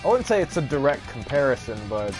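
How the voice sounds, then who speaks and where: A man's voice: mid-range voice, big pitch swings, fast talker. A man casually commenting on a live game stream, chatting like an enthusiastic fan in rambling, slangy talk. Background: game music with guitar.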